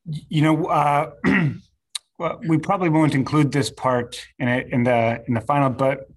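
A man's voice: a little high, steady tone, normal speed, rough recording. A man whose first language is Serbo-Croatian, interviewing a guest in a chatty way with lots of fillers. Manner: friendly, chatty, many fillers. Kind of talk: casual interview.

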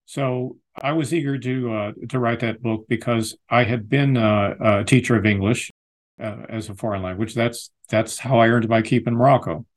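An American man, a lexicographer and author, giving thoughtful, measured interview answers with frequent restarts. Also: medium pitch, fairly even intonation. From United States.

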